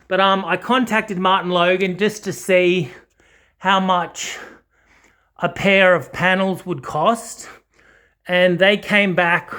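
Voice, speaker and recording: High-pitched man, rambling casually. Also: fairly bright tone, clean tone. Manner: hesitant, rambling, self-correcting. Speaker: audio channel host. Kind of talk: casual unscripted video walkthrough. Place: indoors, small home study.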